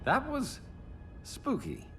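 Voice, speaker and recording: An actor playing a flippant, wisecracking superhero archer in a video game, with a sarcastic, quippy delivery. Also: male voice, very high voice, huge pitch swings, slow, full character voice. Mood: wry, joking. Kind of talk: dramatic video game acting. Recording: loud cinematic music under voice.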